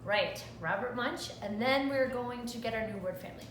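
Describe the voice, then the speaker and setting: A woman's voice: fairly high pitch, moderate pace, fairly bright tone, a little hiss or hum, rough recording. A woman kindergarten teacher reading a picture book aloud expressively and putting questions to the children. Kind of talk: read-aloud lesson for young children.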